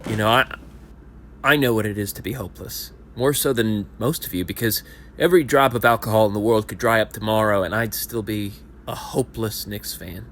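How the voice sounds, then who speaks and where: A man's voice: on the low side, brisk, fairly bright tone, a little hiss or hum. A man in his thirties playing a self-pitying lifelong sports fan at a support group, rambling and self-deprecating, and sometimes rising into indignant rants in an audio play. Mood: earnest confession. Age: 30s. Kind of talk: amateur audio play acting.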